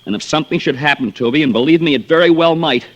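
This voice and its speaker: An actor playing a jilted young suitor, wounded and bitter, in an old radio thriller. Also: male voice, fairly high pitch, fast talker, lo-fi sound, natural voice. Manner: emotional, swinging from pleading to reproach. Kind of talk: 1940s-50s radio thriller acting.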